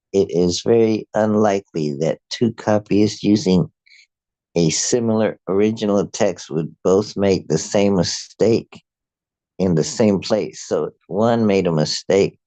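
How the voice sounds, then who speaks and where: A man with an Afrikaans accent, a native Afrikaans speaker, reading a prepared commentary aloud in a measured, halting way. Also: low voice, warm tone, no echo, poor audio. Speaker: video host.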